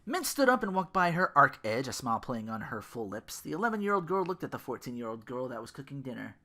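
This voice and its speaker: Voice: medium pitch, very animated, quick pace, bright, forceful tone. A podcast host reading fan fiction theatrically, breaking off now and then for casual asides. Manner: theatrical, self-interrupting.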